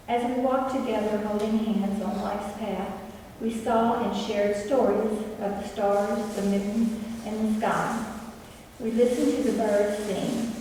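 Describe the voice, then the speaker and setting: Soft-spoken woman reading a remembrance, gentle delivery, distant mic, long hall echo. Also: medium pitch. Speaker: fellowship member. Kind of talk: reading a personal remembrance aloud. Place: large meeting hall indoors. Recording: echoey hall, far from mic, background noise.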